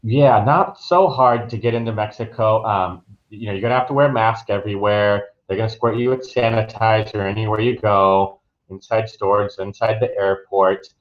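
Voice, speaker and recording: The sound is slightly dull and lo-fi. A man with a lowish voice talks informally as a travel professional being interviewed. He explains things in a casual, conversational way. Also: steady tone, moderate pace, hardly any pauses. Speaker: travel professional, podcast guest.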